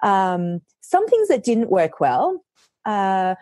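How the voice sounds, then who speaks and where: A woman author, hoarse from losing her voice, chatting casually on a podcast and telling lively stories, often quoting herself. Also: mid-range voice, slow, no echo, studio quality. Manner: animated storytelling, quotes herself.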